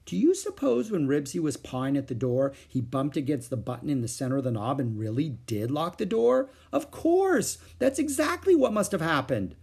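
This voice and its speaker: A man voicing a stern, practical mother who scolds her children firmly, in a husky voice. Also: medium pitch, sing-song, fairly quick, few pauses, warm tone, full character voice. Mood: puzzled. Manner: firm and scolding.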